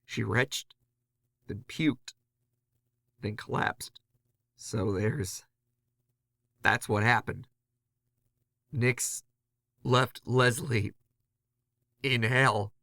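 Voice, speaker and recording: Male actor as haunted confessor, sharp forceful tone, intense, anguished, halting, dry close recording, horror audio drama. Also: mid-range voice, vocal fry, dry recording, full character voice. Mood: shaken, grim. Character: Robbie, haunted, panicked man recording his confession on tape. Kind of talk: first-person horror audio drama monologue.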